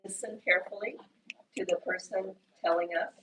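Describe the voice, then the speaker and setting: A woman's voice: mid-range voice, steady tone, a little fast, over music. A woman English teacher from Indiana with an Indiana accent, leading a class in a patient, encouraging, explanatory way. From Indiana, USA. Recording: distant mic in a classroom, faint noise.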